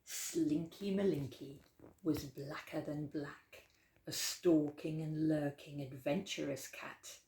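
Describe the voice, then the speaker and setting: A woman's voice: sits low, takes it slowly. Woman reading a storybook in an echoey room. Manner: expressive, dramatic storytelling with emphasis on key words. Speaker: library storytime reader. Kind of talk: read-aloud of a rhyming children's picture book. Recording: roomy, echoey indoor sound.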